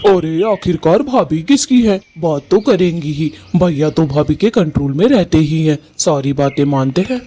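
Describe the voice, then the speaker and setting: Cartoon groom-to-be bird played by a woman: young male, mellow tone, earnest, eager, lovestruck. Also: low-pitched, lively intonation, moderate pace, hardly any pauses, voice pushed down. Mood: cheerful, flattering. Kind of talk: cartoon story voice acting.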